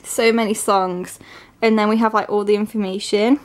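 A woman from England with a stuffy, congested voice from a cold, chatting excitedly through an unboxing with lots of fillers. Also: medium pitch, normal speed. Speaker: vlogger. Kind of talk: casual unboxing narration. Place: at home, at a table.

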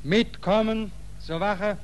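High, barking border sergeant. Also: male voice, steady tone, slow. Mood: brusque, suspicious. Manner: barking, clipped commands. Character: gruff, suspicious male border sergeant. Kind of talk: 1940s German radio play acting. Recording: noisy old radio recording with hum.

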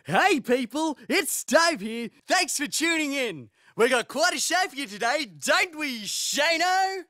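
A chirpy cartoon stove hosts his show with an Australian accent. He is gushing and folksy, with a bright, forceful tone. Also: extremely high pitch, big pitch swings, a little slow, no echo, clean studio sound, full character voice. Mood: showy, upbeat. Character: chirpy talking kitchen stove, male talk show host. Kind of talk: cartoon talk show patter.

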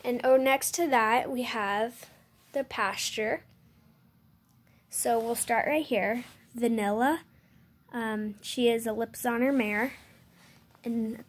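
Young girl's high, slow toy tour. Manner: hesitant, many ums and self-corrections. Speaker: channel host giving a toy barn tour. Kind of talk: unscripted show-and-tell tour. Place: indoors at a toy model farm. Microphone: handheld phone filming close.

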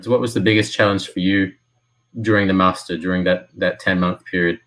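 A man's voice: low-pitched, fairly even intonation, a little fast, fairly bright tone. A man in his thirties from Australia, talking casually on a video call from a small room, hesitant with many fillers. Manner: hesitant, with frequent fillers and restarts. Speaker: football lawyer, alumnus guest. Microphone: laptop webcam on a video call.

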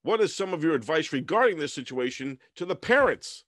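A man's voice: on the high side, very animated, a little fast, a bit bright, no echo, studio quality. A man playing a polite, earnest news anchor in a comedy parody, speaking in a formal, agreeable, mock-serious way. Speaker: news host.

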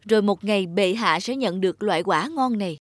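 A woman's voice: medium pitch, brisk, dry recording, studio quality, lightly acted. Woman as an earnest, steadfast young father. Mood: hopeful. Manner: earnest, respectful. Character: humble, steadfast young husband and father, hopeful. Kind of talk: cartoon fairy tale character voice.

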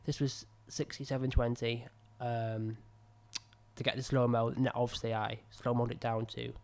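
Young guy reviewing his own footage, croaky and under the weather, thinking aloud with lots of ums, close-miked at a desk. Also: mid-range voice, little pitch movement, moderate pace, darkish tone. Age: young adult. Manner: rambling, self-critical, many fillers. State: ill, croaky voice. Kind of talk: casual commentary over footage. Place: small room indoors at a desk. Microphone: studio mic on a boom arm close to mouth.

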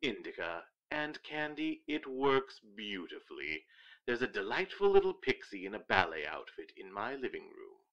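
A man's voice: fairly low pitch, very animated, moderate pace, dull audio. An actor playing a pompous, florid and dreamy male photographer sidekick in a radio detective drama. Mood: dreamy, indulgent.

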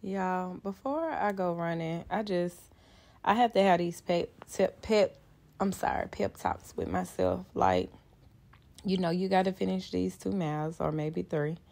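A woman's voice: on the low side, expressive, measured pace, gravelly, darkish tone. A young woman vlogging casually from her parked car, chatting and rambling to her viewers. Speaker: fitness trainer and vlogger. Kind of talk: casual vlog talk to camera.

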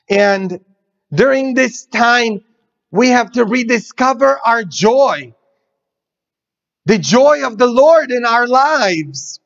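Slow animated priest in echoey church. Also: male voice, high voice. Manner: animated storyteller, gestures a lot. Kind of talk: sermon. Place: church interior, large. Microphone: webcam in front. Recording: muffled with church reverb.

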